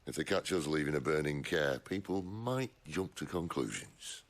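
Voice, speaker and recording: A man voicing a wry, world-weary middle-aged outlaw leader in a video game, with a deep gravelly voice and a dry, sardonic manner. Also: expressive, moderate pace. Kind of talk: dramatic video game voice acting.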